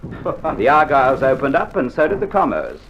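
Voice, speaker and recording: A gruff Australian army sergeant major, laconic and full of dry understatement. His medium-pitched voice moves around a fair bit. It is a 1960s radio play from a dull vinyl recording with faint noise. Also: huge pitch swings, normal speed. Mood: wry warning. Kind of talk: 1960s radio drama acting.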